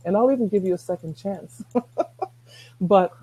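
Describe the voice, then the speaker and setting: A middle-aged woman with a soft-spoken voice, talking earnestly as a guest on a video call, rambling with restarts. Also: medium pitch, a little slow, breathy edge, rough recording. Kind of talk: casual chat.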